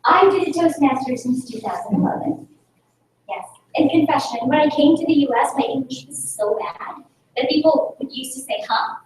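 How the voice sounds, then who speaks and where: A woman from the Philippines, a native Tagalog speaker, giving an energetic talk to a meeting and engaging the audience with rhetorical questions. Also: sits high, normal speed, slight background noise. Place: meeting room. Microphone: distant room mic. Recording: echoey room recorded from a distance.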